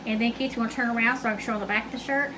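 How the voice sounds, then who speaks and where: A woman's voice: fairly high pitch, steady tone, very fast. A woman, chatting to viewers in a casual vlog and calling them "y'all". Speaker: vlogger. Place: car interior, then at home. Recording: car noise behind voice.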